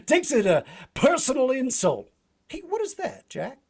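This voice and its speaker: A man's voice: extremely high pitch, wildly swooping pitch, a little slow, creaky, breathy edge, exaggerated voice. A man acting a brash, ornery, teasing adventurer in an old-time radio drama, with an American accent and folksy drawling slang.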